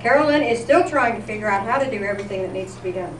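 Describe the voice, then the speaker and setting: A woman reading a scripted, lightly comic tribute in a radio newscast format as an event presenter, with a measured, deliberate delivery. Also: mid-range voice, animated. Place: live event in a senior center room. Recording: echoey, noisy room recorded from far off.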